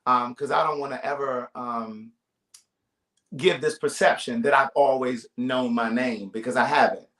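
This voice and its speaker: Bright, animated man hosting live. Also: medium pitch. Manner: lively, animated, quick to cut in and tease. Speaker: host. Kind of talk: live-stream chat show. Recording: roomy echo on the host's mic.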